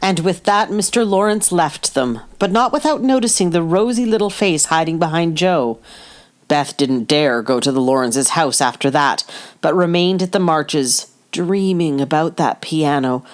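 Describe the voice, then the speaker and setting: A woman's voice: sits low, normal speed, close to an everyday voice. A woman reading scripted storybook narration as the narrator of an audio play. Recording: prominent plucked guitar music behind. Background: plucked guitar music.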